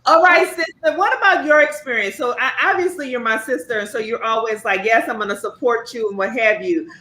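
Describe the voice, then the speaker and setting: A woman's voice: a little high, fairly quick, pushed and bright. A woman hosting a casual chat, speaking informally to her guests. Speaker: host. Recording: echoey room sound.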